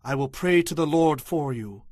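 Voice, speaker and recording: An actor playing a solemn, commanding religious leader of ancient times in a dramatized audio Bible, earnestly exhorting. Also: male voice, a little high, animated, normal speed, a little mellow, no echo, studio quality.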